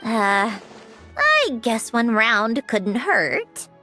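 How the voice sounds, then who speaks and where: A bashful, earnest game character. Her bright voice wavers widely in pitch as she speaks slowly and awkwardly, over faint music. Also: wildly swooping pitch, exaggerated voice. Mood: shy. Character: shy, hesitant, earnest young woman. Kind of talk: anime video game voice acting. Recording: music playing behind the voice.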